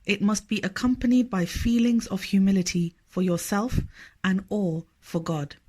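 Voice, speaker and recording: A woman reading a scripted scripture study aloud in a measured, formal manner. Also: medium pitch, dark tone. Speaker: reader of a religious study text.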